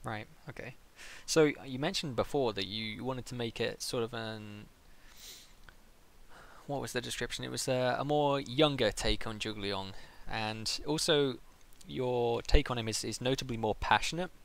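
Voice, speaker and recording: A man's voice: medium pitch, normal speed, vocal fry, fairly bright tone. A man with a British accent working as an interviewer in a casual, chatty style. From Britain.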